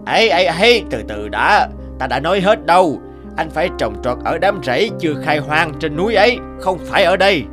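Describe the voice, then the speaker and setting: A man voicing a fat, wealthy, scheming cartoon landlord in a pompous, taunting, drawn-out way. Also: on the high side, sing-song, music in the background. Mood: bossy. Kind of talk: cartoon dialogue acting.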